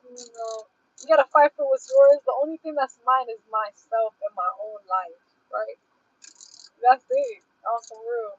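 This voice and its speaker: A young woman livestreaming, chatting casually and rambling in a slangy, animated way to her viewers. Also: a little high, a little slow, breathy edge, dry recording, lo-fi sound.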